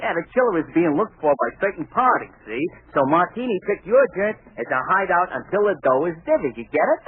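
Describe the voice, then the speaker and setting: An actor playing a cocky Brooklyn gangster who talks brash, mock-refined slang in a 1940s radio comedy. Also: male voice, sits high, big pitch swings, fairly quick, phone-quality sound. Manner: brash, mangled slangy formality. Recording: noisy, thin 1940s radio recording.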